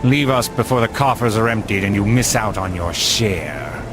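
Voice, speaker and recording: A man playing a cold, commanding medieval lord in a video game, speaking in an imperious, deliberate way. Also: on the low side, lively intonation, music in the background, lightly acted. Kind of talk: video game cutscene acting.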